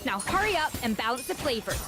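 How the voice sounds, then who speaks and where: Focused game chef. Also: female voice, high-pitched, sing-song, a little fast, over music. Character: calm focused female chef working to balance flavors.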